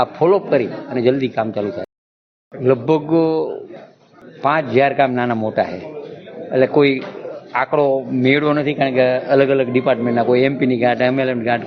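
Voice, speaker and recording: A man gives an informal statement in choppy, colloquial short phrases. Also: mid-range voice. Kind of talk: informal spoken news statement. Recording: dull recording amid loud crowd chatter. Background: crowd chatter.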